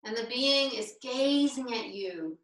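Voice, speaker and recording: A woman leading a guided meditation as a teacher, speaking deliberately in short, spaced-out phrases over a video call. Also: a little high, animated. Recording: roomy, distant video-call microphone.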